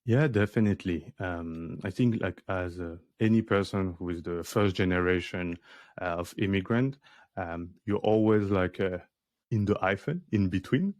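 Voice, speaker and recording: A man's voice: sits low, normal speed, a little mellow, dry recording. A man, a career coach guest on an interview podcast, talking casually with many fillers and restarts. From France.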